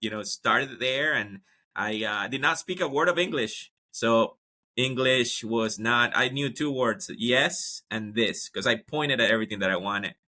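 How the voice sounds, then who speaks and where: A man's voice: mid-range voice, a little slow, bright, forceful tone. A man from Ecuador, a guest telling his career story in a casual interview, with lots of fillers and restarts. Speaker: guest, HR executive.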